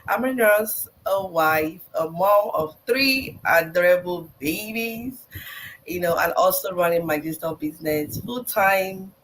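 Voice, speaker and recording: A woman's voice: on the low side, measured pace, rough recording. A woman from Nigeria, a guest on a live show, introducing herself over a video call in an upbeat, inviting way.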